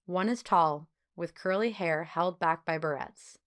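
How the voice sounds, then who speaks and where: A woman reading a children's story as an audiobook narrator, with clear phrasing and expressive delivery. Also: on the low side, unhurried.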